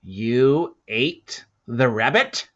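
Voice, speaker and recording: Storybook villain played by a male reader: big prehistoric hunt boss, harsh and short-tempered, pitched up loud, lo-fi sound. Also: lively intonation, extremely slow, heavily acted. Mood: menacing. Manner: harsh, shouting commands.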